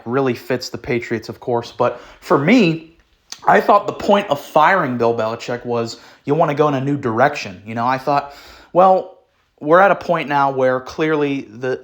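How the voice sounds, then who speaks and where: A young man who is sick, hosting his own sports channel and giving blunt opinions in casual talk, rambling with ums and clearing his throat. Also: medium pitch. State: sick, voice sounds off.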